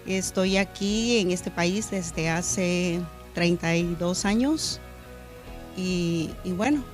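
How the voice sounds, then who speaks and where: A woman's voice: lowish voice, takes it slowly, soft background music. Earnest Honduran-born woman pastor. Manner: earnest, reflective, with hesitant fillers. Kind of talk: testimony interview. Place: church media studio.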